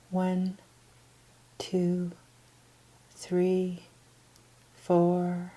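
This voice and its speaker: A woman leading a guided meditation in a gentle, soft, breathy voice that often trails toward a whisper, with unhurried pauses. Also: on the low side. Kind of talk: guided meditation, soothing instruction.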